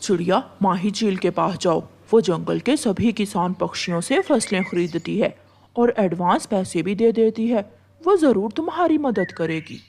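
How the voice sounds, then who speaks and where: A performer voicing a helpful male pigeon in a cartoon, gentle and apologetic. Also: medium pitch, lively intonation, a little slow, creaky, slight background noise, voice pushed down. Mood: advising.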